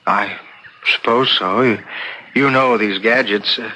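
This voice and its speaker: A man playing a dazed, guarded new widower in a 1970s radio drama, speaking haltingly and defensively. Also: lowish voice, pushed and bright, exaggerated voice. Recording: noisy 1970s radio broadcast recording.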